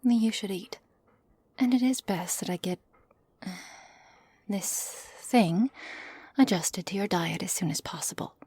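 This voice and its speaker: A woman playing a cold, menacing witch experimenter in an ASMR roleplay, speaking in a breathy, measured, condescending way. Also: mid-range voice, a little mellow. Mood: gently doting. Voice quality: breathy, often whispery. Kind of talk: ASMR roleplay, scripted acting to listener.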